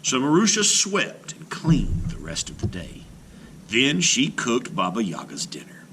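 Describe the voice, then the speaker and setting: A man reading a children's folktale aloud as scripted narration, with dramatic delivery and hushed phrase endings. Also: medium pitch, huge pitch swings, a little slow, a little hiss or hum. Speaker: storyteller, children's read-aloud host. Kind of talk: expressive scripted storybook narration.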